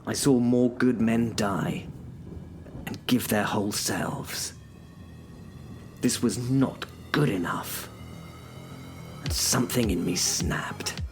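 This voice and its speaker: A man's voice: fairly low pitch, slow pace, pauses often, soft background music. A man narrating a horror audio drama in the first person, brooding and dramatic.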